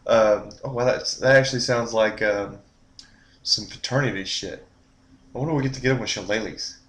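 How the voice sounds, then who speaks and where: A man's voice: on the low side, brisk, a bit bright. Laid-back Texan man, echoey room. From Texas. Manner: laid-back, joking, tells anecdotes with quoted dialogue. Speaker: gaming podcast co-host. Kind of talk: casual chat.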